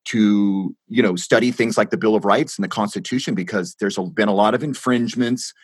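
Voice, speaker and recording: Man, interviewer, low husky voice, hesitant questions, dry close sound. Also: no room sound. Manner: hesitant, halting questions with fillers.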